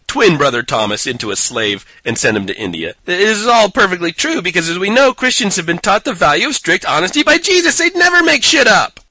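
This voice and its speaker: A man's voice: a little high, bright, forceful tone, no room sound. A man co-hosting a casual podcast, picking apart a book with a sarcastic, mocking manner and frequent laughter. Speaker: podcast co-host. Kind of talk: casual chat reviewing a book.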